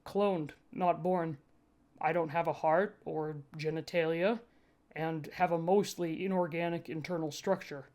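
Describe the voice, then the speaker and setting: A woman voicing a sullen, literal part-bot security cyborg in flat, deliberate, clipped speech for a story reading. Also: deep voice. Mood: defensive, flat.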